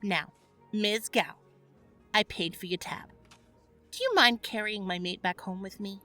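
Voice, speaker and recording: A stern, bossy wife of the night creatures in an audio drama. Her voice is bright and forceful, brisk and commanding yet gracious, with faint music behind. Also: mid-range voice.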